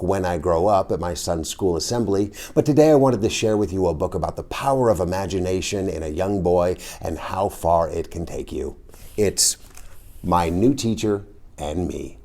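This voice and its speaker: A read-aloud host reading a children's storybook in an animated, expressive style. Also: low-pitched.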